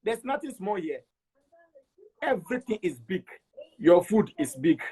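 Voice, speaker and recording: Higher-voiced Togolese man telling his story. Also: big pitch swings, unhurried, warm tone, slightly rough. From Togo. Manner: repeats words for emphasis, acts out quoted dialogue. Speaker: interview guest. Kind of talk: animated personal storytelling.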